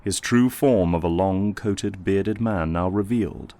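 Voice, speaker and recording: Man as a low-voiced audio drama narrator. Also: measured pace, warm tone. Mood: solemn.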